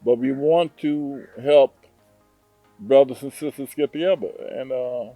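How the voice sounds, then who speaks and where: A man from Detroit, a hotel owner, answering interview questions in an earnest, unhurried way with frequent fillers. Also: medium pitch, mellow, dry recording, faint music behind, poor audio. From Detroit, USA. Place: hotel in Zanzibar.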